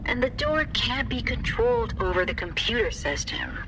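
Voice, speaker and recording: Dry, measured woman as game tech expert. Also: fairly high pitch, expressive, background noise, lightly acted. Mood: matter-of-fact. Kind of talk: video game voice acting.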